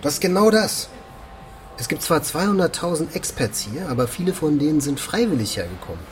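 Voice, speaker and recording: An actor playing a frank German expatriate manager, talking informally and a little haltingly in a radio drama. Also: male voice, a little high, very animated, a little hiss or hum. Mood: frank, resigned. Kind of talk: conversational documentary radio drama.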